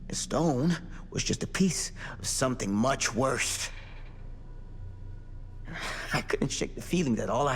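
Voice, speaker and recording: A haunted ex-lawman in a video game cutscene. He is grave, resolute and brooding, with fry in his voice. The sound rings with long reverb over faint noise. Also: medium pitch. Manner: brooding, solemn, confessional. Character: weary, driven ex-sheriff hiding secrets, grave and resolute. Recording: reverberant hall, light background noise.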